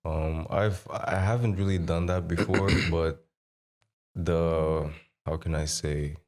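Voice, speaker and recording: Very deep-voiced young man. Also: fairly even intonation, takes it slowly, a little mellow. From Mozambique. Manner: informal, repeats phrases for emphasis. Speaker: singer, podcast guest. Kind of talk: casual chat.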